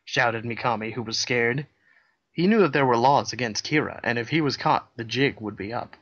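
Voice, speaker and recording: Dude reading fanfic deadpan, dry little asides, kinda dull lo-fi sound. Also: male voice, mid-range voice, normal speed. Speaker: co-host reading a fan fiction aloud. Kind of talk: comedic dramatic reading.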